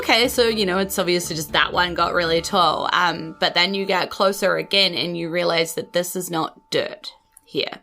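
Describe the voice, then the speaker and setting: A woman's voice: on the low side, gravelly, bright, forceful tone. A woman narrating a tabletop game as its host, in an informal, conversational, descriptive way. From New Zealand. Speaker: game master and podcast host.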